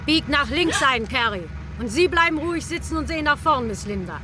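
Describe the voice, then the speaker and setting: An actress playing a cold, resolute killer in a German radio crime drama, controlled and commanding. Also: female voice, sits high, lively intonation, a little fast, noisy background. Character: cold, resolute female killer holding a revolver.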